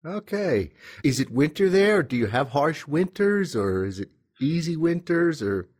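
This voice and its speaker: A man's voice: on the high side, sing-song, a little slow, a little mellow. A man hosting a podcast, friendly and asking short questions. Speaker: podcast host. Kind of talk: podcast interview.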